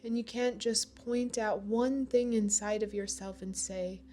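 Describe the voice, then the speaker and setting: A woman leading a guided meditation in a gentle, soothing manner, with pauses between phrases. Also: mid-range voice, steady tone. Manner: slow, gentle, soothing delivery with long pauses.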